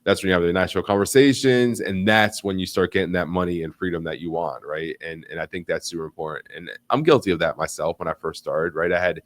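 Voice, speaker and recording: Deep-voiced man hosting a live chat. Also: fast, few pauses, no room sound. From United States. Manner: animated, rambling, frequent fillers and restarts. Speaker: livestream host. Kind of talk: casual live interview.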